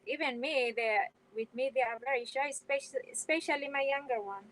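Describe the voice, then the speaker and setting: High-voiced woman live-streaming. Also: fairly quick, fairly bright tone, slight background noise, poor audio. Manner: hesitant, frequent fillers and restarts. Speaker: vlogger hosting a live stream. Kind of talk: casual live-stream chat, reading viewer comments.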